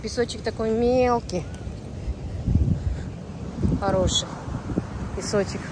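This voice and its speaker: Lady doing a casual travel vlog outside, gushing, loud wind all over the mic. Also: fairly high pitch, takes it slowly. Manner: admiring, exclamatory. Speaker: travel vlogger. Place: outdoors, on a windy ocean beach. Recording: loud wind and surf noise.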